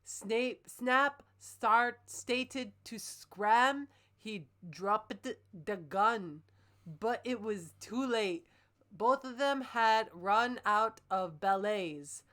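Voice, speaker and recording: A woman's voice: on the high side, extremely slow, fairly bright tone. A woman hosting a comic reading, moving between dramatic narration and casual joking commentary. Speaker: host reading and critiquing fan fiction.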